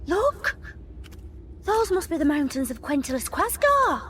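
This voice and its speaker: Young woman space traveller, high voice, kind, eager, expressive intonation, radio drama, faint noise. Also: a little slow, exaggerated voice. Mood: eager, tired. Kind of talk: radio comedy drama acting.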